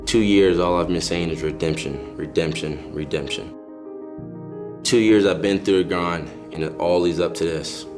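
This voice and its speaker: A man's voice: sits low, normal speed. A man from South Carolina, a fighter speaking in a promo interview, confident and driven, repeating his points. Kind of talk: interview soundbites in a promo package. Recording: music bed under the voice.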